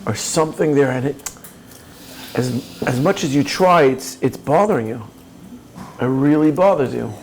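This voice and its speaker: A man's voice: mid-range voice, lively intonation, normal speed, long pauses, slight background noise. A man giving a reflective coaching talk, earnest and probing, speaking directly to the listener. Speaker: speaker on personal growth.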